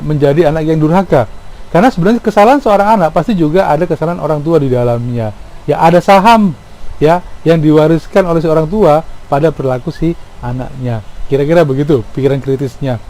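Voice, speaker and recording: A middle-aged man talks through his ideas in an informal, explanatory way. His voice sits a little high for a man and has a dark, relaxed tone. He is reflective, often posing rhetorical questions and adding small tags that check for agreement. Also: rough recording. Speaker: speaker giving commentary on folk tales.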